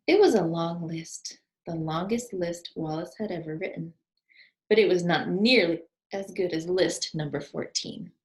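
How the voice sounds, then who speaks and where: A woman reads a children's picture book aloud in a voice a little lower than average. Her delivery is expressive, and she breaks from the story to ask questions and point out the pictures. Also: poor audio. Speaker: storybook reader, teacher.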